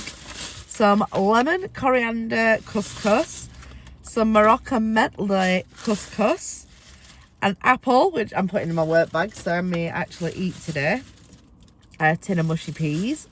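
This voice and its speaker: Woman vlogger, under the weather with a squeaky voice, casual and chatty, bursts of excitement. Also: a little hiss or hum. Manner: chatty, excitable, rambling asides. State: ill, squeaky voice. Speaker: weight-loss vlogger. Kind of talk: casual vlog to camera.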